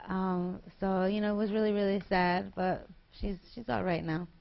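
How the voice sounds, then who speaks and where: A woman's voice: mid-range voice, flat delivery, normal speed, vocal fry, a crowd in the background. Giggly young woman, muffled recording. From New York. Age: 20s. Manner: bubbly, giggly, chatty. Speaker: guest singer. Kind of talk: casual interview.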